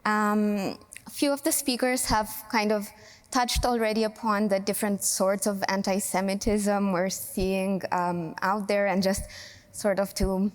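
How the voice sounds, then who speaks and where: A woman's voice: medium pitch, flat delivery, long reverb tail. Earnest woman from Romania, panel speaker. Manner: earnest, measured, with frequent um and uh. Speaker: civil society panelist. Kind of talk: panel discussion remarks.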